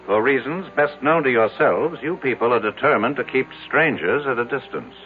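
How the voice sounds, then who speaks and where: A man's voice: medium pitch, animated, normal speed, close to an everyday voice. An actor playing a proud, demanding wealthy businessman in a 1970s radio drama, insistent and accusing. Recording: tinny old radio recording, faint music.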